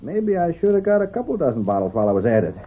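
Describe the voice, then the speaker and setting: Gullible backwoods husband, swooping highish voice, old radio western. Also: male voice, big pitch swings, very fast, husky. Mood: folksy, contented. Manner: rustic drawl, folksy grammar. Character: folksy ailing gullible frontier husband, fond of tonic. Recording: tinny old radio broadcast recording.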